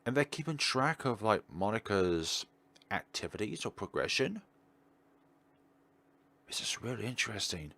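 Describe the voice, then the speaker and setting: Male gaming host, lowish pitch, very varied intonation, casual commentary, musing, pauses to think aloud. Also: very animated, vocal fry, pushed and bright. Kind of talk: casual playthrough commentary, partly reading on-screen text aloud.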